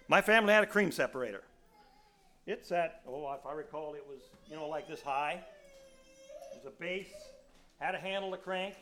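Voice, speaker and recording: A man in his sixties with a raspy voice, preaching a sermon in a folksy storytelling way with many restarts. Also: a little high, fairly bright tone.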